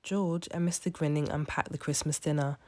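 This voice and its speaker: A woman's voice: low voice, fairly even intonation, fairly quick, darkish tone. A woman storyteller reading a children's picture book aloud as scripted narration.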